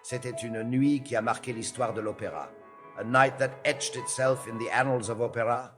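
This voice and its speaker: A man's voice: medium pitch, fairly even intonation, fairly quick, close to an everyday voice. A man acting an old, nostalgic Frenchman who tells opera tales dramatically, dropping in French phrases, in an audio drama. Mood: grave, haunted. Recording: long hall reverb under music.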